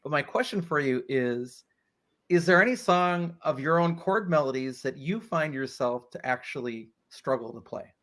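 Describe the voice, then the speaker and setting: A man's voice: fairly high pitch, lively intonation, moderate pace, fairly bright tone. A man with a tenor voice hosting a podcast, chatty and thinking aloud with frequent likes and you-knows. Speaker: podcast host. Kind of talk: casual interview chat.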